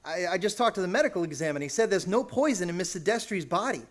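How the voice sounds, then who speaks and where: A man plays an eager police sergeant in an old-time radio play. His voice is high and he talks fast, deferential yet persistent. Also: animated. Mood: insistent. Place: theater stage, performed live.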